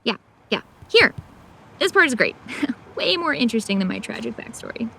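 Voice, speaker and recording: A woman's voice: on the high side, sing-song, normal speed, gravelly, pushed and bright, a little hiss or hum, full character voice. A young woman playing a sardonic loner mortician in an audio drama, with chatty asides to the listener. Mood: flustered, sardonic. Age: young adult. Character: wry young woman mortician, loner who sees monsters. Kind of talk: first-person audio drama narration and dialogue.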